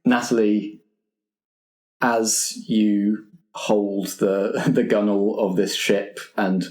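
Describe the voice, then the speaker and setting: Male game master, fairly low voice, narrating a tabletop adventure, recorded in an echoey room. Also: a little mellow. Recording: roomy echo.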